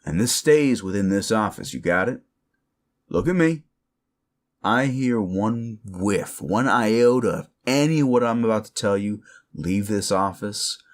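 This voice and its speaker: A man's voice: fairly low pitch, expressive, unhurried. A man playing a sleazy, bullying werewolf office boss in an audio roleplay, condescending, cajoling and foul-mouthed as he berates the listener. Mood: conspiratorial, threatening. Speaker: voice actor performing a roleplay.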